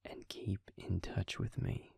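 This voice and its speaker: A man playing a worried, protective, gruff but caring vampire in an intimate audio roleplay, with a breathy, gravelly murmur and a halting, earnest, pleading manner. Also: warm tone, no room sound, whispered, studio quality, lightly acted. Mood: exasperated, pleading.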